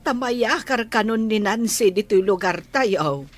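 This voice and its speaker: Babaeng gumaganap na madaldal na dalaga. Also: female voice, mid-range voice, lively intonation, a little slow, faint music behind. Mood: chatty. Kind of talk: radio drama acting.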